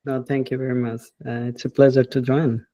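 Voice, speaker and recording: A man, a professor talking as an interview guest in an unhurried, hesitant way and often adding "right?". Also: medium pitch, little pitch movement, mellow, dry recording, highs cut off. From Bangladesh. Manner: unhurried, hesitant, with frequent "uh" and tag questions like "right?". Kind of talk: casual interview.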